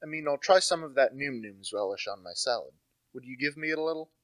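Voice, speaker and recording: A young man playing a precise, brooding, intellectual gentleman detective in a radio play, deliberate and theatrical in delivery. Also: mid-range voice, lively intonation, dry recording, natural voice. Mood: determined. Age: young adult.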